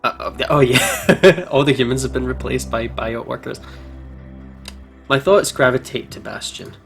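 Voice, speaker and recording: Casual male let's-player, mid-pitched, narrating a visual novel aloud with soft music underneath. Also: measured pace, creaky. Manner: giggly, stumbles while reading. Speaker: gaming video host. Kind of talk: reading game narration aloud with casual banter. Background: game music.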